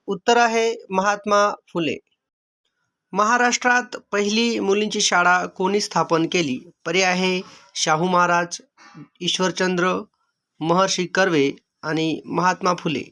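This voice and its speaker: Man, very high pitch, bright forceful tone, quiz teacher, measured formulaic reading of questions and options. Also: steady tone. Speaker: exam-prep quiz teacher. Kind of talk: reading out multiple-choice quiz questions and answers.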